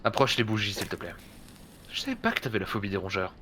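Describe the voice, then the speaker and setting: An actor playing a brooding, laconic adventurer and master of the house in a French audio drama, dry and wry. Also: male voice, fairly low pitch, expressive, fast talker, bright, forceful tone, slight background noise. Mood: dry, calm.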